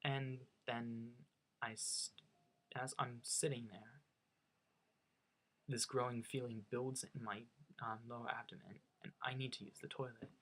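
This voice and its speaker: A young man with a faint Norwegian accent tells a personal story casually. He talks in a chatty, self-mocking way and often stops to restart his sentences. His voice sits at an ordinary pitch for a man. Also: level tone, a little slow, pauses often, fairly bright tone. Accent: slight Norwegian. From United States. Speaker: vlogger.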